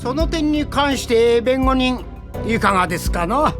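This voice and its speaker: Older male judge, ceremonious, mild, dignified, audio drama over clear background music. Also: very high-pitched, animated, unhurried. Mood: puzzled, formal. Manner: formal, ceremonious. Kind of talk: Japanese audio drama voice acting.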